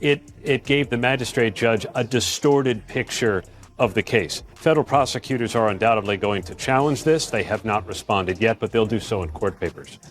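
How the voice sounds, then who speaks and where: A man reporting live as a news correspondent, halting and repeating words as he explains. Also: fairly low pitch, faint music behind.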